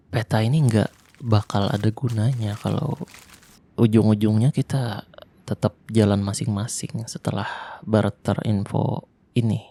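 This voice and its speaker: A man voicing a lonely young male survivor of a zombie apocalypse in an ASMR roleplay, talking softly and intimately in casual Jakarta slang, close to the microphone. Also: fairly low pitch. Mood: tender. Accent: Jakarta colloquial Indonesian.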